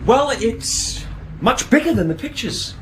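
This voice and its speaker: A man's voice: extremely high pitch, big pitch swings, unhurried, a little hiss or hum, heavily acted. An actor playing an eager, dreamy young man househunting with his partner, keen and a little defensive, in a radio drama.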